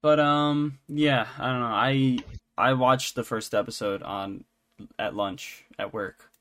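A man talking casually as a podcast co-host, in a halting, rambling way. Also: mid-range voice, steady tone, fairly bright tone, slight background noise. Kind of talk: casual chat.

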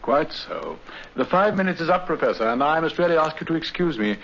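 An actor playing a coolly confident master detective with a refined English accent, urbane and dryly ironic, in a 1950s radio drama. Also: male voice, fairly high pitch, animated, brisk, a bit bright. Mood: composed, cutting. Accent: English, Received Pronunciation. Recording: muffled, faintly hissy 1950s radio recording.

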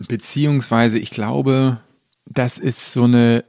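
A man's voice: mid-range voice, steady tone, a little mellow, dry recording, clean studio sound. A man talking casually as a musician guest in an interview, thinking aloud and often stopping to search for words. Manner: reflective, halting, searching for words. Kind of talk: casual conversational interview talk.

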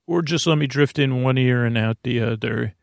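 A man with a gravelly, creaking voice at a middling pitch rambles as host of a bedtime podcast. He is halting and repetitive, stretching words and drifting into goofy tangents. The sound is close and dry. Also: no echo. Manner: drawn-out words, droning; goofy, self-deprecating. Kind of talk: rambling improvised bedtime monologue.

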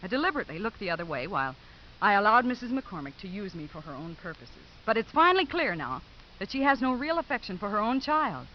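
A woman's voice: mid-range voice, big pitch swings, fast, natural voice. A woman playing an earnest, conscientious probation officer in a 1950s radio drama, with careful diction. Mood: firm, contrite. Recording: hissy muffled 1950s radio recording.

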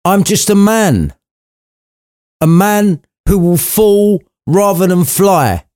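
A man's voice: high voice, animated, slow, a little mellow, no echo, studio quality, voice pushed up. A man playing Scrooge, a grumpy, defensive and shaken old miser, in an irreverent storybook retelling. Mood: anxious, pleading.

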